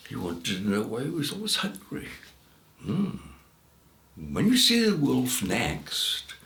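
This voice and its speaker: Imagine a man performing a blunt, matter-of-fact storybook deity. He gives chatty instructions at a slow pace, the pitch swinging widely in a roomy space. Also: a bit bright, full character voice. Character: God, blunt male voice at world's edge, matter-of-fact. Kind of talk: storybook folk tale character voice.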